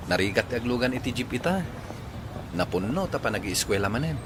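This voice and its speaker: A polite, lovestruck young Ilocano man, played by an actor, persistent in his courting. His voice is medium-pitched, in Filipino radio drama style, over clearly noisy ambience. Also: sing-song, brisk, natural voice. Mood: pleading. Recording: clear background noise and ambience.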